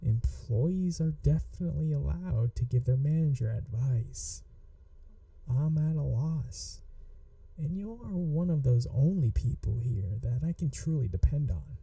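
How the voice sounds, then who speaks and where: A woman acts out a flirty, adorkable female manager in an ASMR roleplay. Her voice is very soft and dark, teasing and fond, with unhurried phrasing that rises and dips expressively. The sound is dry and intimate. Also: low-pitched, lively intonation, no room sound, recorded from a distance, heavily acted, low-quality recording. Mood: coaxing, flirty. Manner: soft, coaxing, playfully teasing, slipping into whispers. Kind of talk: ASMR roleplay, acted monologue to listener.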